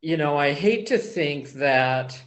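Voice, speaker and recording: Slow, halting man with a higher voice. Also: animated. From small-town background. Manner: slow, halting, many pauses and fillers. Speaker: book discussion group member. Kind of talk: casual group discussion.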